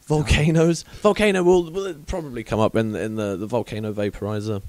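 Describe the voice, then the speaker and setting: A man's voice: medium pitch, steady tone, fairly quick, darkish tone, dry recording. A man from England hosting a podcast, chatting casually with frank, awkward rambling and many fillers. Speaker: podcast host.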